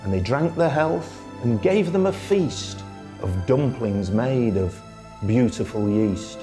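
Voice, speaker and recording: Man reading verse with very lively, swooping pitch. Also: music in the background. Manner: theatrical, singsong, drawn-out phrasing. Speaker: storyteller, radio presenter. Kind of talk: expressive children's poetry recitation.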